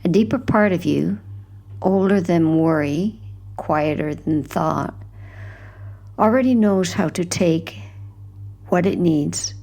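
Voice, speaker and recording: A woman guiding a sleep hypnosis in a gentle, hushed, soothing scripted narration, unhurried, with phrases that trail off softly. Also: deep voice.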